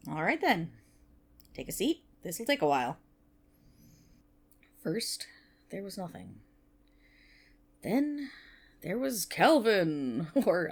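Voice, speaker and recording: A woman playing a nerdy, playful best friend in an ASMR roleplay, rambling casually to the listener with wry asides and self-interruptions. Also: on the low side. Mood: amused, hesitant.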